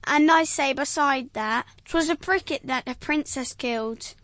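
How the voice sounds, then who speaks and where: A woman's voice: high voice, measured pace, fairly bright tone, dry recording. A woman playing a stubborn, plain-spoken constable in a Shakespeare performance. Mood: insistent. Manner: stubborn, insistent. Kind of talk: Shakespeare stage acting.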